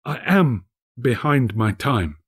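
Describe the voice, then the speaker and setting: A man's voice: mid-range voice, big pitch swings, slow pace, breathy edge, exaggerated voice. A man voicing a meek male clerk in a storybook, apologetic, hesitant and deferential to his master. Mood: nervous, pleading. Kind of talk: dramatic storybook character reading.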